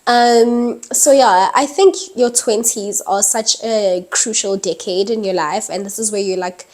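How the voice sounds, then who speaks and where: A woman's voice: medium pitch, measured pace, darkish tone. Chatty young woman vlogging. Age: early 20s. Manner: chatty, many fillers like "um" and "like", laughs lightly. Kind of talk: casual talking-head vlog. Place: indoors at night, dim lighting.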